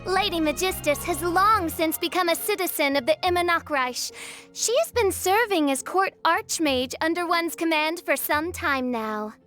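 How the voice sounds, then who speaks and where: A woman's voice: very high voice, lively intonation, moderate pace, fairly bright tone, faint music behind. A woman playing a theatrical teenage self-styled princess in an anime game, pompous and melodramatic with archaic phrasing. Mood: haughty, pleased. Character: theatrical teenage girl posing as grandiose gothic princess.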